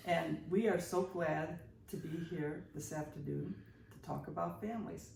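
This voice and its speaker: Woman in her sixties, creaky voice, podcast host, playful casual storyteller, echoey distant recording. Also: deep voice, normal speed, a little mellow. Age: 60s. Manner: playful, teasing. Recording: roomy, distant mic, faint noise.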